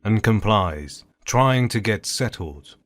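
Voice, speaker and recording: A man's voice: lowish voice, lively intonation, takes it slowly, fairly bright tone. A man narrating a scripted audio drama as its storyteller.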